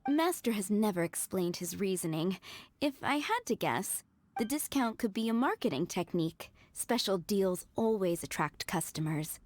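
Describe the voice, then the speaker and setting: Game role: actress as gentle, deferential maid of a wine estate, mid-range female voice, polite and composed. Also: medium pitch, animated, moderate pace, no room sound, close to an everyday voice. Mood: polite, explanatory. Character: courteous, devoted female winery maid, gentle and poised. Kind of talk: video game voice acting. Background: music.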